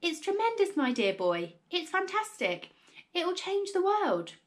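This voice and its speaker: Woman playing a wildly excitable, impatient storybook father. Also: fairly high pitch, brisk. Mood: manic enthusiasm. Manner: breathless exclamations, urgent and insistent. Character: excitable, impatient father, frantic with grand schemes.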